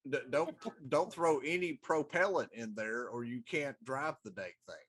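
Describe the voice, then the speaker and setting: Male co-host, middle-aged, medium pitch, relaxed conversational delivery. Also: moderate pace. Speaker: talk show co-host. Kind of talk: casual chat.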